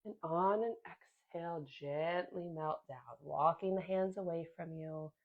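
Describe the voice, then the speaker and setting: A woman leads a slow yoga class in a gentle, calm voice with soft pauses, a bit sniffly from a sinus infection. Her voice sits fairly low, and the recording sounds slightly dull and muffled. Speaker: yoga instructor. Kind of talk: guided yoga class instruction.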